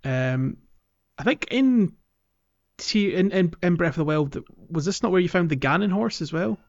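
A man's voice: high-pitched, animated, moderate pace, creaky. A man hosting a podcast, talking casually about a game and often circling back to correct himself as he goes. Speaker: podcast host. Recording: dull, lo-fi audio.

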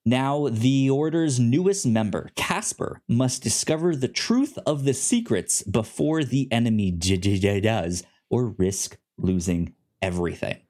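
A man co-hosting a casual review podcast, talking informally and haltingly, often stuttering and repeating syllables. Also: medium pitch, lively intonation, darkish tone. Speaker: podcast co-host. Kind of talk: casual review show chat.

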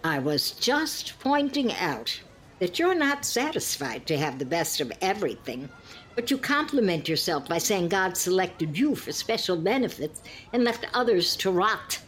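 A woman performs an elderly lady in a radio-play style, genteel and passive-aggressive. Her delivery is slow and very expressive, on the lower side for a woman. She sighs and needles politely, with some noise behind her. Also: low-quality recording. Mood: sweetly barbed. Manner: prim, sighing, pointedly polite barbs. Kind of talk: scripted two-hander audio comedy-drama.

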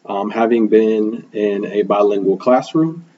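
A young man from Milwaukee whose first language is Spanish answers interview questions in an earnest, reflective way, often pausing on "um" and checking in with "right?". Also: lowish voice, mellow, husky, muffled recording. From Milwaukee, Wisconsin, USA. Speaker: guest, school program manager.

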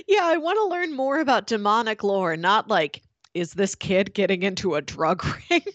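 A woman chatting casually as a podcast co-host, telling stories in an animated, playful way. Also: mid-range voice, normal speed, no room sound, muffled recording. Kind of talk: casual chat.

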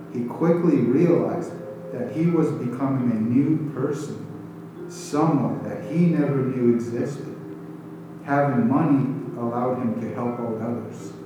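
A man talking in an unhurried, deliberate way, with casual answers and simple storytelling. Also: medium pitch. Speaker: guest storyteller. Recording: roomy, distant, music behind.

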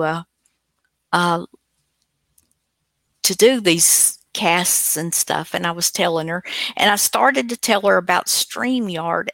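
A woman's voice: fairly low pitch, creaky, pushed and bright, no echo. A woman co-hosting a show with casual, rambling, folksy and teasing unscripted chat. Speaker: co-host of an old-time radio online show.

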